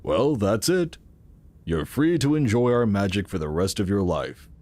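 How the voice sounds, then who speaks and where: A man's voice: lowish voice, lively intonation, a little slow, a little mellow. A man playing a benevolent, reassuring founder in a sci-fi audio drama, speaking with formal courtesy. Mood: benevolent assurance. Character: benevolent, reassuring male founder of futuristic organization.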